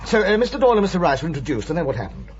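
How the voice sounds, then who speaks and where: An actor playing a polite police inspector in a radio drama, asking questions patiently with a husky voice. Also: male voice, fairly high pitch, very animated, racing, crowd noise. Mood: probing, courteous. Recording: dull, muffled radio recording.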